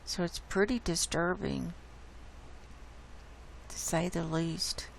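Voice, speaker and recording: A woman host with a hoarse voice, casually walking viewers through data shown on her screen. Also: fairly low pitch, fairly even intonation, a little hiss or hum, poor audio. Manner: unhurried, explains data on screen. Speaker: channel host presenting climate data. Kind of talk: casual screen-share presentation.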